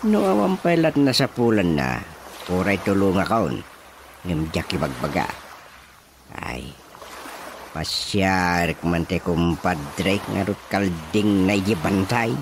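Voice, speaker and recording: A man's voice: low-pitched, animated, takes it slowly, noisy background, full character voice. An actor with an Ilocano accent playing a scheming village chairman in a radio drama comedy. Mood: grumbling, scheming. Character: village chairman, lively scheming male official. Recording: music behind the dialogue.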